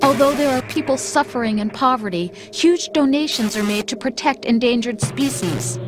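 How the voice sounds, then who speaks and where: An actress playing a calm, coldly persuasive female machine intelligence in a video game, speaking softly with a mocking edge. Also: on the high side, normal speed, natural voice. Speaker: game character. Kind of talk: video game cutscene voice acting. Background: dramatic music score.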